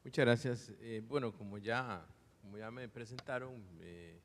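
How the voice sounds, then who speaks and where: A man with a Costa Rican accent speaking as a conference panelist in a measured, semi-formal way, with pauses and repetitions. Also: medium pitch, a little hiss or hum. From Costa Rica. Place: conference hall. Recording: reverberant conference hall sound.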